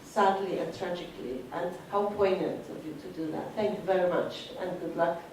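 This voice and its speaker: A woman's voice: on the low side, steady tone, moderate pace, a little mellow. A woman in the audience giving an earnest, moved comment to filmmakers. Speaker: audience member. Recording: echoey hall, distant, some noise.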